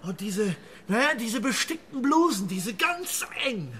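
An actor playing a boastful cowboy father in a radio play, excited and dreaming big. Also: very high-pitched, a little slow, full character voice.

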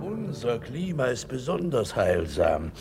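A man acting in a German radio drama as an obsequious, gossipy host with a raspy voice and servile, flowery manner. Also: medium pitch, very animated, unhurried, over loud music. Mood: fawning. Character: obsequious, fawning, gossipy male host to a prince.

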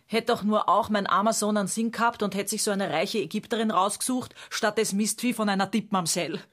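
Casting: actress as older widow in radio drama, gushing, gossipy, given to nostalgic rambling. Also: female voice, medium pitch, fairly even intonation, brisk, fairly bright tone, close to an everyday voice. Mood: gushing, wistful. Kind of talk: radio play dialogue.

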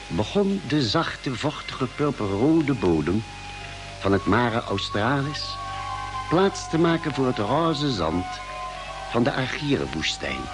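A man acting as an expedition member narrating his journey in measured, literary mid-century radio drama style. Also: medium pitch, poor audio. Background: music.

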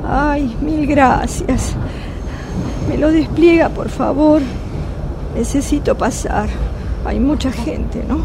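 An actress playing an exasperated, sarcastic blind street vendor in a radio drama, with a Rioplatense Argentine accent. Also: female voice, high-pitched, slow, long pauses. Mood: polite, pleading. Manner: complaining, sarcastic, emphatic. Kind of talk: radio drama monologue as phone call. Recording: loud subway train noise throughout. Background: subway ambience.

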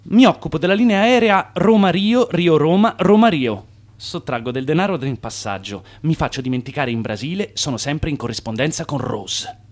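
An actor in a radio drama playing a defiant, scheming swindler, an Italian immigrant, with theatrical delivery. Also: male voice, a little high, lively intonation, moderate pace, close to an everyday voice. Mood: eager, boastful.

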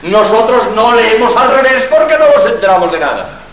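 An older man, a Jesuit priest from Spain, gives a lively public lecture full of jokes and rhetorical questions. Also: very high voice, moderate pace, a little hiss or hum. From Spain, born in Barcelona. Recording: very echoey hall, mic far off.

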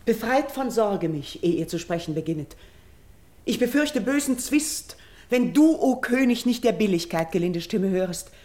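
An actress playing a noble, devout young priestess in a classical radio drama, pleading in solemn, declaimed verse. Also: female voice, medium pitch, lively intonation, normal speed, a little mellow, close to an everyday voice. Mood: urgent, earnest.

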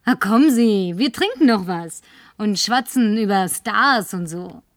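An actress in a German radio play playing a flirtatious, tipsy, insistent career woman with coquettish, languid phrasing and breathy murmurs. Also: medium pitch, lively intonation, a little slow, no echo, clean studio sound. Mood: tipsy, demanding.

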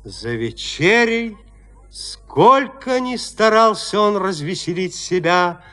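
A man narrating a classic horror tale in an audio play, with theatrical, expressive delivery. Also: high voice, takes it slowly.

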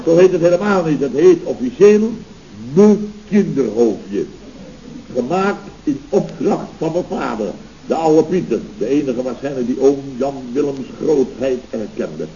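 An actor playing a pompous, touchy middle-aged boss of a building firm, blustering theatrically in an old radio comedy. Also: male voice, medium pitch, expressive, unhurried, mellow. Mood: indignant. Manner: blustering, theatrical, muddles words and sayings. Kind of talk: 1970s Dutch radio comedy acting. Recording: muffled, hissy old radio recording.

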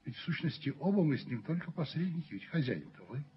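Pompous middle-aged landlord, muffled recording. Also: male voice, a little high, very animated. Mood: indignant, pointed. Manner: measured, rhetorical, pompous. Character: dignified middle-aged male slum landlord, self-righteous, coolly persuasive. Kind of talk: 1970s Soviet radio play acting. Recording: muffled old radio recording with noise.

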